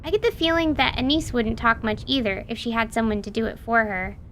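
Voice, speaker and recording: A woman plays a cheerful big sister in a radio play. Her voice sits high and has a crackly edge, and she chats casually and protectively. Faint noise lies behind the recording. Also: a little fast, fairly bright tone. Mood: teasing, reassuring. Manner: casual, chatty. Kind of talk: audio drama acting.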